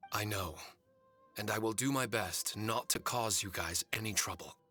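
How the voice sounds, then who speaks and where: A solemn, low-voiced spirit warrior. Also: male voice, pushed and bright, soft background music. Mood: apologetic. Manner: grave, measured, formal. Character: solemn, dutiful male spirit warrior, humble and earnest. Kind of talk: English video game voice acting.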